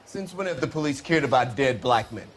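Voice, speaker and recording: A man's voice: medium pitch, lively intonation, a little fast, a little hiss or hum, lightly acted. An actor playing an angry male nightclub musician in a 1940s noir game, heated and emphatic. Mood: scornful.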